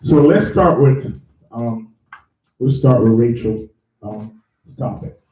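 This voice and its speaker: A man's voice: mid-range voice, slow, warm tone. A man from Nigeria hosting a live career workshop, chatty and self-correcting as he tells his story to the room. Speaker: career bootcamp host and mentor. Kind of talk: live workshop talk with audience. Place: large room with live audience. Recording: echoey room, far from the mic, dull sound.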